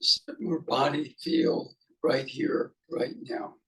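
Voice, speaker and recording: A man's voice: mid-range voice, fairly bright tone, low-quality recording. Man musing through a guided meditation. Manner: halting, musing, speaks in short fragments with pauses. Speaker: meditation teacher.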